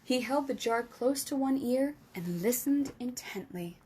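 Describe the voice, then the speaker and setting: A woman reading a children's book aloud, telling it slowly and carefully. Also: fairly high pitch, animated, warm tone, a little hiss or hum. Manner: slow, careful storytelling. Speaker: storytime reader.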